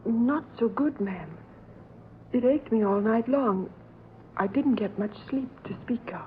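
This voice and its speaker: An actress playing a timid, deferential housemaid with a meek, folksy manner, in 1940s radio drama style. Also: female voice, a little high, expressive, a little slow. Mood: pained. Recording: tinny, hissy vintage radio recording.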